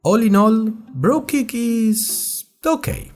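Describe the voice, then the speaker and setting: Man playing a chatty, animated young student podcaster. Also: high-pitched, takes it slowly, over music, heavily acted. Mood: upbeat. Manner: conversational, wry, addresses listeners directly. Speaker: host of a fictional audio diary. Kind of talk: scripted first-person audio drama narration.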